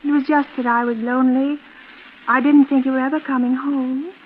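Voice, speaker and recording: High-voiced, timid, childlike middle-aged woman. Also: flat delivery, a little fast. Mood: anxious, confiding. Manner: timid, rambling, apologetic, girlish. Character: fragile, childlike woman nearing forty, timid and wistful. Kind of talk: 1940s radio suspense acting. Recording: muffled, noisy vintage radio recording.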